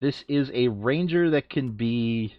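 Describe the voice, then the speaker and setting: A man's voice: mid-range voice, a little slow, dry recording. Man thinking aloud, dull dry recording. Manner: thinking aloud, counting and self-correcting. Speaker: board game player and video host. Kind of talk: casual chat while sorting game cards.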